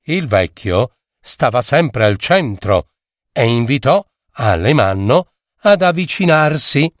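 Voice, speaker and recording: A man narrating a fairy tale from a script with expressive, theatrical delivery. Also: mid-range voice, slow, no room sound, clean studio sound. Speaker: storyteller.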